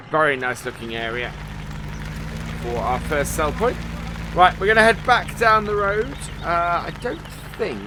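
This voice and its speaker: A man's voice: a little high, noisy background. A man casually commentating a farming game as he explores it, upbeat and full of ums and uhs. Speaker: gaming channel host.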